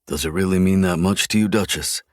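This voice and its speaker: A man voicing a calm, protective tall man in a low, gentle, measured way. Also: no room sound, studio quality, close to an everyday voice.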